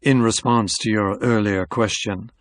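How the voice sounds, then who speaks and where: A man voicing an unflappable FBI agent in an audiobook, with a Southern US accent and smooth, formal, measured speech. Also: lowish voice, steady tone, dry recording, close to an everyday voice. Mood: calm, authoritative. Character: cool, insolent male Southern FBI special agent.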